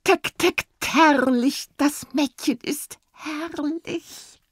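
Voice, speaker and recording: A woman's voice: sits high, dry recording, studio quality, voice pushed up. A woman voicing a chattering female bird teacher, gleeful and indignant, in a children's audiobook. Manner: chattering calls, drawn-out gleeful words.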